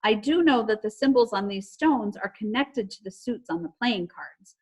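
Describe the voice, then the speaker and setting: A woman voicing a knowledgeable storybook girl who explains clues in a deliberate, emphatic way. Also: mid-range voice, animated, darkish tone, lightly acted. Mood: earnest, explaining.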